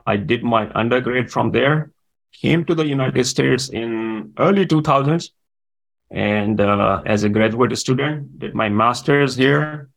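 A man in his forties with a gravelly, creaky voice. His is Urdu, and he answers interview questions at a middling pitch, pausing often on "uh". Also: low-quality recording. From Pakistan. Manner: hesitant, with frequent "uh" fillers. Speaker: guest.

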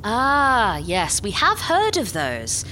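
A woman playing a brisk, scheming but tactful female spaceship captain in a live sci-fi comedy audio drama. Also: fairly high pitch, huge pitch swings, pushed and bright, full character voice, low-quality recording. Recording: live stage recording with faint noise.

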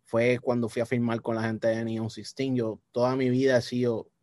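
A young man with a Puerto Rican Spanish accent, talking casually as a guest musician, relaxed and repeating words as he thinks. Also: mid-range voice, moderate pace. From Puerto Rico. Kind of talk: casual interview chat.

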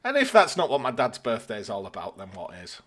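Bright-voiced British man, dry podcast host. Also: medium pitch, wildly swooping pitch, fast talker. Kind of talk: casual podcast chat.

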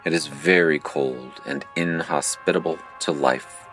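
A man playing a mysterious, impassive game operator who narrates a surreal audio drama in a formal, deadpan manner. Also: rumbling low, a little slow, faint music behind. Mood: cold, formal.

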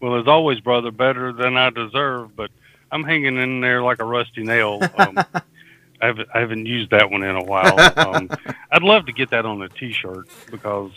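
A man's voice: medium pitch, normal speed, sharp tone, no room sound, rough recording. A man with a Southern US accent co-hosting a podcast, chatting casually in a folksy, joking way with chuckles. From Mississippi, United States. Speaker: podcast co-host. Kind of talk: casual chat.

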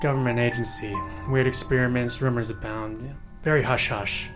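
Audio drama casting: male actor as shrewd psychic questioner, wry, probing, medium pitch, faint score behind. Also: fairly even intonation, moderate pace, fairly bright tone, faint music behind, natural voice.

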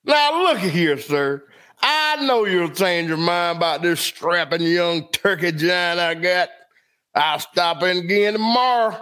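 A man's voice: high-pitched, a little slow, bright, forceful tone. A man playing a folksy, pushy turkey seller with a drawling rustic patter. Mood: coaxing.

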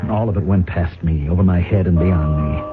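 Actor as uneasy low-voiced schemer, vintage radio. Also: male voice, brisk, close to an everyday voice. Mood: brooding narration. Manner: uneasy, hesitant, dramatic. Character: anxious, ambitious businessman narrating his own guilty scheme. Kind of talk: 1970s radio drama acting, first-person narration. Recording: phone-like vintage radio recording, faint music.

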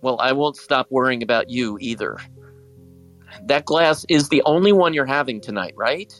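A man playing a guarded, wry high school senior in an audio drama, with a casual, dry delivery. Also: animated, normal speed, a bit bright, faint music behind, natural voice. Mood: softened, caring.

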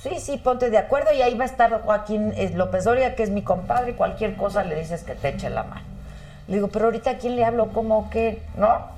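A woman's voice: medium pitch, expressive, brisk, darkish tone, poor audio. A Mexican woman in her fifties, the host, chatting frankly with slangy quips and telling a story of her own. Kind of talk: casual chat.

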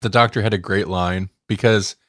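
A man's voice: lowish voice, fairly even intonation, normal speed, fairly bright tone, no room sound, studio quality. A man co-hosting a podcast, chatting casually and giving his opinions in a conversational way. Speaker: podcast co-host. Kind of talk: casual fan discussion chat.

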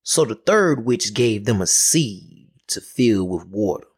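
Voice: medium pitch, animated, slow, husky. A storyteller retelling a folk tale in a folksy, dramatic way, with music behind. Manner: folksy, dramatic, repetitive chanting delivery.

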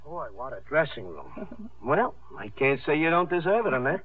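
An actor playing a loyal, folksy, worried sidekick with a hesitant, slangy manner in a 1950s radio drama on a tinny broadcast. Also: male voice, a little high, sing-song, moderate pace. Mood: sheepish, worried.

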